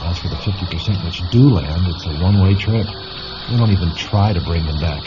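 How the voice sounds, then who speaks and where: Dull-sounding radio drama with music behind a man playing a space station chief. His low voice is measured and matter-of-fact, explaining things with dry understatement. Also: natural voice. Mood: grave, matter-of-fact. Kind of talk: science fiction radio drama acting. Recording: muffled lo-fi audio with music behind.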